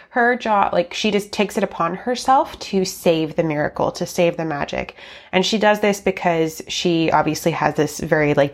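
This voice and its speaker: A woman in her thirties with a cold, sounding nasal and scratchy, chatting casually as a podcast host with plenty of ums and likes. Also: low-pitched. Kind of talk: casual solo podcast talk.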